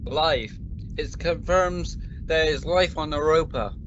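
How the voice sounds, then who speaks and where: A man playing an earnest, curious explorer-scientist in an amateur sci-fi radio play, measured and portentous in delivery. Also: fairly high pitch. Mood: intrigued, resolute. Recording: muffled recording with faint noise.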